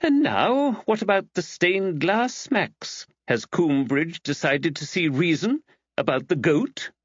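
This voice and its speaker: A man's voice: on the high side, big pitch swings, slow, dry recording, highs cut off, pitched above the performer's own voice, full character voice. A man voicing an arch, gossipy village woman priest with wry, exaggerated mimicry in an audiobook. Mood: curious. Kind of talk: audiobook character dialogue.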